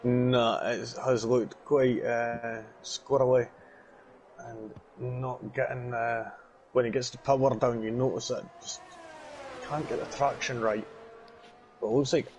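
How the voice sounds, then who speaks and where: A man giving casual, chatty colour commentary on an online sim race, with excitable bursts at the incidents. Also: medium pitch, measured pace, a little hiss or hum. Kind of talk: sim racing color commentary.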